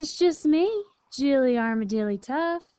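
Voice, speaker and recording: A woman's voice: high voice, lively intonation, a little slow, dark tone, no echo, pitched above the performer's own voice. A woman voicing a vain, fussy female armadillo in a storybook, with prim, emphatic sass. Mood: nervous.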